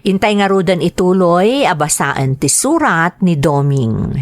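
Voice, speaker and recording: A woman's voice: lowish voice, expressive, a little slow. A woman with a Filipino accent hosting a story program, reading scripted greetings and narration in an announcing style. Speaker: radio drama host reading greetings and letters.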